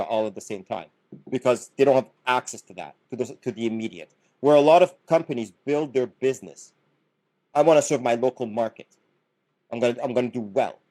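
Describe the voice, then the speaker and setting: A middle-aged man from Canada talks through his ideas as a podcast guest, with a mellow, dark tone. He is earnest and explanatory, often repeating a word as he restarts a sentence. His pitch sits in an ordinary middle range. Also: rough recording. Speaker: podcast guest, business founder. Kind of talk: casual podcast conversation.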